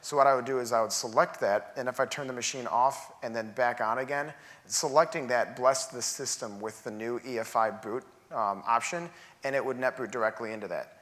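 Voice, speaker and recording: A man presenting at a conference, working through technical answers in a steady, methodical way. His voice sits at an ordinary middle pitch and comes through a stage microphone in a large theater. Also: brisk.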